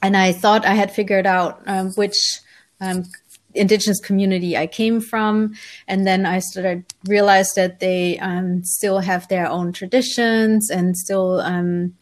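Woman in her forties, German-raised guest author, calm mid-pitched storyteller pausing often. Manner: relaxed, thoughtful, many ums, light laughs. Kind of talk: conversational interview storytelling.

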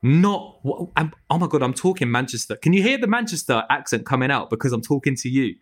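A man's voice: mid-range voice, fast. A man, a tree surgery business owner, chatting casually on a podcast with restarts and swearing. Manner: animated, swears freely, lots of restarts.

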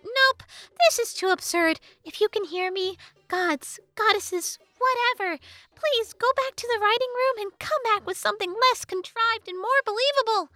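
A woman's voice: extremely high pitch, normal speed, sharp tone, no echo, soft background music, voice pushed up. A woman voicing a sassy, sarcastic female fairy with an exasperated, mocking and dramatic manner in a storybook narration.

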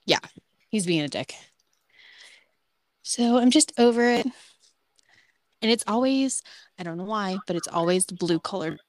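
A woman's voice: mid-range voice, lively intonation, measured pace, pauses often. A woman in her late twenties co-hosting a podcast, chatting casually and bluntly, with a frank, filler-heavy way of talking. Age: 20s. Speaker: podcast co-host. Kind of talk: casual chat.

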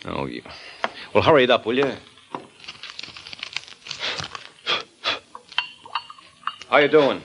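An actor playing a wisecracking private detective in a 1940s radio drama, wry and dry. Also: male voice, mid-range voice, big pitch swings, fast talker, creaky, a bit bright, highs cut off, slight background noise. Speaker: lead detective.

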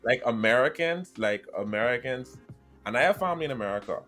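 Animated Bahamian man, thick accent. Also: mid-range voice, brisk, faint music behind. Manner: animated, laughs while talking. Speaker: podcast host. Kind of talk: casual podcast chat.

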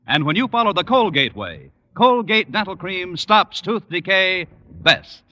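A man announcing an old-time radio advertisement in a polished, emphatic sales pitch. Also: very animated, unhurried, heavy background noise. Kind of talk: 1940s-50s radio advertising read. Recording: muffled, reverberant old radio recording.

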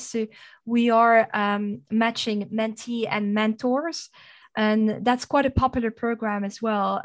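A woman from Belgium with a French accent, speaking as a guest in an interview, measured and with frequent fillers. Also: fairly high pitch. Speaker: guest, sustainability consultant. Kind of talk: conversational interview.

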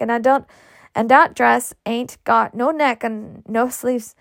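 A woman's voice: on the high side, no echo, clean studio sound. A woman voicing a bossy, doting older nursemaid who scolds in drawling folksy speech.

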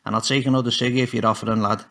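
A man playing a feared, gruff old grandfather who talks bluntly and barks his lines. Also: mid-range voice, little pitch movement, very fast, sharp tone. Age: older. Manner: blunt, barking, scolding.

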